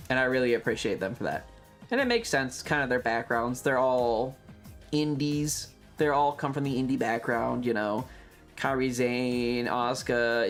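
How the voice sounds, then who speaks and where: A streamer with a mid-pitched voice talks casually over background music. The delivery rambles along, opinionated and emphatic, with swearing dropped in freely as they address a live audience. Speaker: livestreamer. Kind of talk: casual chat to a livestream audience.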